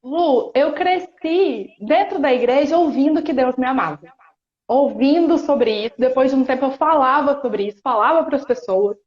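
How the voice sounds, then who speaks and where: A woman in her thirties from Espírito Santo, Brazil, with a Brazilian accent, speaking as a guest in an earnest, reflective chat with frequent pauses and restarts. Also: high-pitched, darkish tone. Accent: Brazilian Portuguese, Espírito Santo. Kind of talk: casual chat on a live stream. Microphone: phone or laptop on a video call. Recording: roomy, dull live-stream audio.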